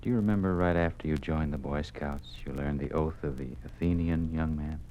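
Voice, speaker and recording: A man playing a caring, quietly troubled American father, measured and understated, in a 1950s radio drama. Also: a bass voice, steady tone, low-quality recording. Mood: subdued, thoughtful. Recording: old radio recording with faint noise.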